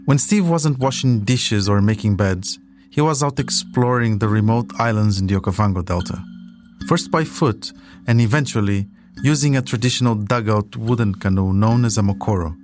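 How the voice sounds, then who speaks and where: A man's voice: lowish voice, moderate pace, a little mellow. A man hosting a podcast, reading scripted documentary narration as a storyteller. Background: music.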